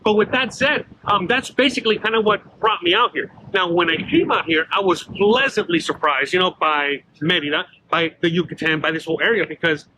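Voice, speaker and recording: Bright-voiced man from Miami, rambling. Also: sits high, fairly quick, few pauses, slight background noise, rough recording. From Miami, Florida. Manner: rambling storyteller with many fillers and restarts. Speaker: YouTuber guest. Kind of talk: casual walk-and-talk interview. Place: outdoors on a city street.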